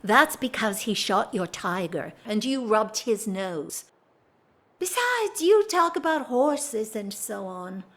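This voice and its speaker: A woman's voice: mid-range voice, big pitch swings, slow, fairly bright tone. A woman playing a restless, bored aristocratic wife in a period audio drama, theatrical and wry, with emphatic swells. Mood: wry complaint. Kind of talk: period drawing-room audio drama acting.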